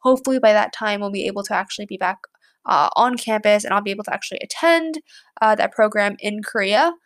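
A woman's voice: medium pitch, fast. A woman in her twenties, a college student, talking casually and rambling with lots of fillers. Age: 20s. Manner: rambling, many fillers, chatty. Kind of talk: casual chat to camera.

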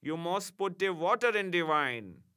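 A man's voice: high voice, sing-song, slow, pushed and bright, voice pushed up, full character voice. A man voicing a pompous, quarrelsome male angel who speaks thick, broken English with a German accent. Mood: soothing. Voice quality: thick broken English, rolling mock-formal phrasing.

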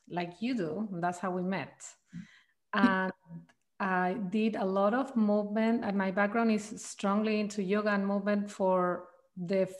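A woman, a guest on an interview who searches for her words and restarts her sentences. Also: medium pitch. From Chile. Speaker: guest, trauma practitioner. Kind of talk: casual interview answers.

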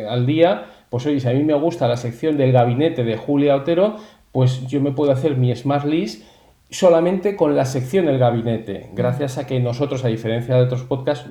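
Mellow man in an echoey room. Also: mid-range voice. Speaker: interview guest, company founder. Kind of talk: explanatory interview talk. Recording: roomy, echoey sound.

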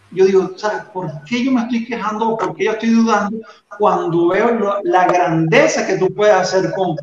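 A man's voice: high-pitched, a little hiss or hum. Earnest Venezuelan man, echoey dull sound. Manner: earnest, halting, many fillers and restarts. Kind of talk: casual faith testimony chat.

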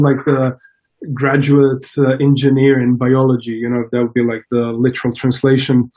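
A mellow, dark-voiced man from Croatia speaks as a guest in a relaxed interview. He restarts his sentences often and fills the gaps with ums and uhs. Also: medium pitch, low-quality recording. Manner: hesitant, many restarts and fillers. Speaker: scientist guest. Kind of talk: casual interview.

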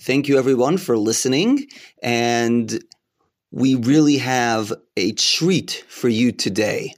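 A man hosting a comedy podcast, asking questions slowly and deadpan. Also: mid-range voice. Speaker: podcast host.

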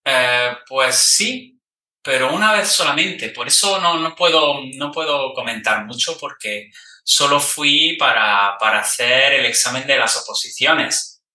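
A man hosting a casual interview, speaking in a relaxed, thoughtful way with frequent hesitations. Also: mid-range voice, a little slow. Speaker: podcast host interviewer. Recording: echoey room, distant mic.

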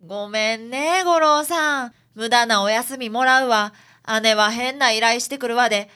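A woman's voice: a little high, unhurried, sharp tone, smooth. A woman playing a flustered, feisty young female detective's assistant with a Kansai accent in a radio drama. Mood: apologetic.

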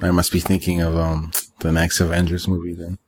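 A male podcast panelist with a deep voice. He speaks in a casual, conversational way, stopping and restarting as he searches for the right word. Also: completely flat delivery, fairly quick, a little mellow, no echo. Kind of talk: casual chat.

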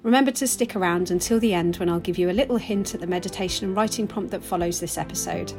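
A woman hosting a nature podcast. She reads the show notes with enthusiasm and then asks her guest questions warmly. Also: fairly low pitch, quick pace, over music. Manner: enthusiastic, encouraging listener sounds. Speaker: podcast host. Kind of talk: scripted intro, then interviewing.

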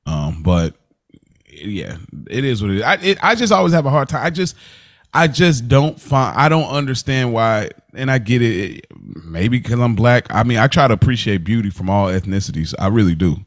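A man's voice: medium pitch, animated, normal speed. American man hosting a casual review podcast. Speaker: podcast host.

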